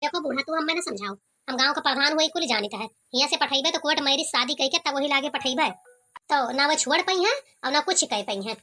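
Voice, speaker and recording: A woman's voice: high voice, animated, brisk, bright, forceful tone, soft background music. A woman voicing a self-important, scheming village headman and father in a cartoon, with an Awadhi accent. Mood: scheming, bossy. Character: bossy, scheming village headman, older father of two daughters. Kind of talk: cartoon comedy voice acting.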